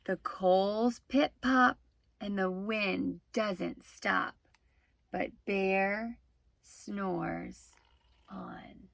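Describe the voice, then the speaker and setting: Slow, expressive woman reading to children. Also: mid-range voice, vocal fry, dry recording. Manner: expressive, instructive, addressing young listeners. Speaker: storyteller reading a picture book aloud. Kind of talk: animated children's read-aloud with explanatory asides.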